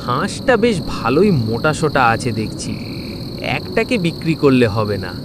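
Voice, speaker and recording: Man as a lively, sly rookie cartoon thief. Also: mid-range voice, moderate pace, close to an everyday voice. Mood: sly, ingratiating. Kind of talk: cartoon voice acting. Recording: noisy night insects and frogs behind. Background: crickets and frogs.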